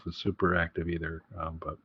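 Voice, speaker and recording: A man's voice: low-pitched, monotone, brisk, breathy edge, no echo. A man co-hosting a podcast in casual chat, rambling and correcting himself as he goes. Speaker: podcast co-host. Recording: dull, lo-fi close dry audio.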